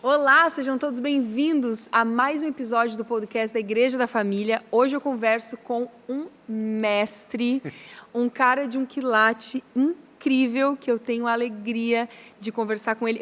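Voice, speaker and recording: A woman with a gaúcho accent from southern Brazil, hosting a podcast interview in a lively, chatty way. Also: fairly high pitch, moderate pace. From Rio Grande do Sul, Brazil. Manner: lively, chatty, warmly admiring of the guest. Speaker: podcast host.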